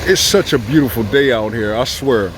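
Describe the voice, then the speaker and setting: A man's voice: mid-range voice, moderate pace, background noise. Socal dude vlogging on his phone by the beach, laid-back and rambly, waves faint behind him. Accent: Southern Californian. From Southern California. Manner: relaxed, rambling, repeats himself, chuckles often. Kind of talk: casual tribute vlog. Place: outdoors by the beach. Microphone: phone or camera filming himself.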